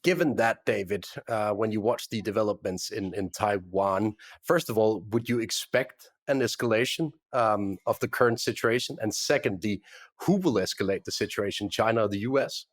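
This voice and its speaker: Male interviewer, deeper voice, measured questions, dry close sound. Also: no echo.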